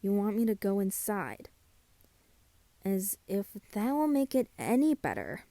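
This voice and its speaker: A woman playing a self-doubting friend in a scripted roleplay, speaking softly and hesitantly at an unhurried pace. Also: medium pitch, sing-song, no room sound. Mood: doubtful. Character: soft-spoken, self-doubting friend carving Halloween pumpkins.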